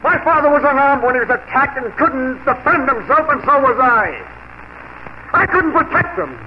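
A man's voice: very high voice, moderate pace. A man acting a vengeful, aggrieved outlaw son testifying in a 1940s radio western. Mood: bitter, accusing. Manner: vehement, aggrieved. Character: vengeful outlaw son accusing his father's killer in court. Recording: muffled, noisy 1940s radio broadcast.

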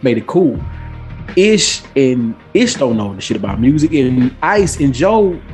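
A man's voice: medium pitch, darkish tone. A man in a casual podcast debate, giving his opinions informally and repeating phrases for emphasis. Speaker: guest podcaster.

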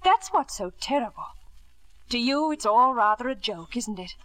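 An actress playing a proud, disillusioned middle-aged wife and mother, crisp and reproachful, in a 1950s radio drama. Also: on the high side, lively intonation, normal speed, a bit bright, low-quality recording. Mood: pained, earnest. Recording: vintage 1950s radio broadcast.

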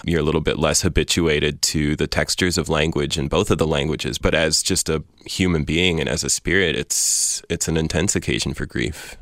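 Deep-voiced thoughtful man, dry close sound. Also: fairly even intonation, moderate pace, few pauses, a bit bright, no room sound. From Iran. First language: Persian. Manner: articulate, thoughtful, elaborate phrasing with frequent fillers. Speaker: poet guest. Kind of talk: reflective interview conversation.

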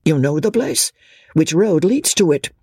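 A man voicing a suave, calculating male official in an audiobook, quietly commanding and impatient, with menace underneath. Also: a little high, very animated, unhurried, creaky, husky, dry recording, studio quality, voice pushed up, full character voice. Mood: suave probing. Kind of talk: audiobook character voice.

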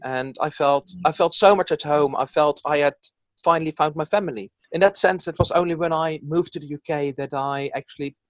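A man from the Netherlands with a Dutch accent talks in an earnest, thoughtful way, sharing his views as an interview guest. His voice sits fairly high for a man. The sound is dry, with no room around it. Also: fairly even intonation, a little fast, no room sound. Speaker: psychologist guest. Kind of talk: reflective interview talk.

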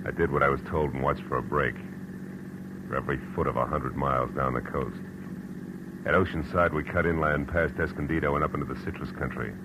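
An actor playing a hard-boiled, world-weary private detective in a 1940s radio drama, terse, wry and tough-talking. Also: male voice, very deep voice, noisy background. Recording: vintage radio recording, music underneath.

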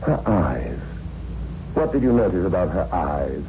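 An actor playing a grave, secretive man in an old-time radio horror drama, speaking in a measured, formal, ominous way. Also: deep voice, big pitch swings, full character voice. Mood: ominous, explanatory. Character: grave, secretive brother guarding a dark family secret. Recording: dull, hissy old radio recording.

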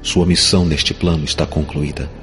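A man's voice: extremely low pitch, steady tone, moderate pace, in a large hall, soft background music. A man voicing a stern, cold archangel with formal, imperious delivery in an audiobook drama. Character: stern, cold, commanding male archangel in gold armor.

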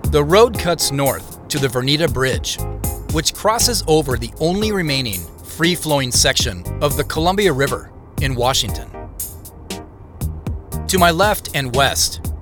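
An actor playing a reflective man who narrates his own journey in measured, descriptive first person for an audio drama. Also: mid-range voice, close to an everyday voice. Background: music.

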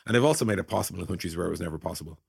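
A man's voice: low voice, rapid-fire, creaky, husky, dry recording, clean studio sound. A man hosting a casual tech podcast discussion in an informal, joking manner. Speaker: podcast host.

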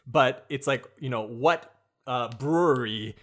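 A man's voice: mid-range voice, unhurried, fairly bright tone. A man, a guest on a podcast, giving long, thoughtful answers about cooking in a casual chat. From United States. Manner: thoughtful, rambling explanations. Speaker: guest, Japanese cooking teacher.

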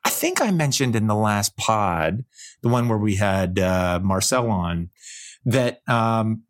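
Animated, chatty man on a podcast. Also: on the low side. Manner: chatty, halting restarts, laughs at own jokes. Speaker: podcast co-host. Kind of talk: casual podcast chat about investing.

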